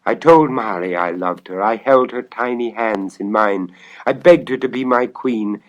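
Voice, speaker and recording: A man voicing an anguished, lovesick, secretive king in a storybook tale, speaking in an impassioned, halting, confessional way. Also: on the low side, highs cut off, pitched above the performer's own voice, close to an everyday voice. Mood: wistful, impassioned. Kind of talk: storybook audio dramatization.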